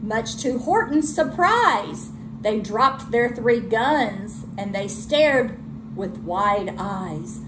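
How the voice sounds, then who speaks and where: A woman narrating a children's storybook aloud in an expressive teacher's read-aloud style. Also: fairly high pitch, extremely slow, background noise. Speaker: elementary school teacher reading aloud.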